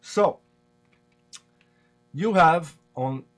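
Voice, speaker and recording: Quebec french guy streaming a tutorial, slow and halting, lots of uhs, faint music behind. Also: on the high side, fairly bright tone. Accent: Québécois French. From Quebec, Canada. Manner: hesitant, many fillers and restarts. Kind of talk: casual live-stream tutorial.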